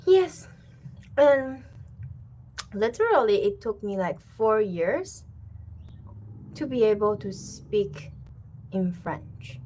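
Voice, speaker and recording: A woman's voice: mid-range voice, takes it slowly, long pauses, slight background noise, poor audio. A woman in her thirties from Taiwan, a Mandarin speaker talking in English, chatting casually and laughing often as she hosts her own language channel. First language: Mandarin Chinese. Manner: relaxed and chatty, with many fillers and repeated words, laughing often. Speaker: language teacher and channel host. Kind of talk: casual chat to camera.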